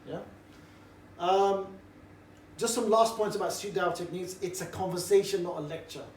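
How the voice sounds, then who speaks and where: Relaxed, cheeky man in an echoey room. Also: high voice, moderate pace. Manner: cheeky, relaxed, jovial banter. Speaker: street preaching trainer. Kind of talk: informal group briefing. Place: indoor room with a group. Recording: roomy echo, distant mic, faint background noise.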